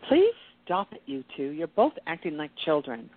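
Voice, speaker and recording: A firm, knowing peacemaker played by a woman in an amateur radio drama. Her voice is low and lively in pitch, with an admonishing manner. It sounds like a phone line. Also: moderate pace, full character voice. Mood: exasperated, scolding. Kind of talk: amateur radio play acting.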